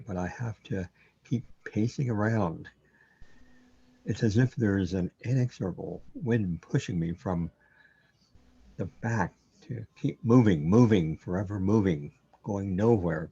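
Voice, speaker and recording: An actor playing a troubled, bewildered man in a surreal sci-fi radio drama, hesitant and halting as he muses aloud. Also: lowish voice, dark tone, slightly rough, lo-fi sound. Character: troubled, bewildered man overwhelmed by cosmic reality.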